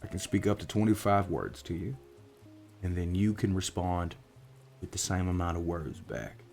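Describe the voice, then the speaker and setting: A man voicing a gruff, streetwise orc warlock private eye with a leathery voice and an American country accent, in a folksy, reassuring roleplay. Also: low voice, normal speed, darkish tone, faint music behind. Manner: folksy, matter-of-fact, reassuring. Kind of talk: soft-spoken ASMR roleplay.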